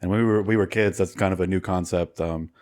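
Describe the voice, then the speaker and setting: A young man speaks as a guest on a casual podcast. His baritone is very deep and monotone, and he delivers everything in a dry, flat way. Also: fast.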